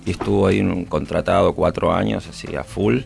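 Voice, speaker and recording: Gravelly low-voiced man, hesitant. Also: fairly even intonation, fairly quick, faint music behind. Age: middle-aged. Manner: hesitant, many restarts and fillers. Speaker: guest musician. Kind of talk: casual interview chat.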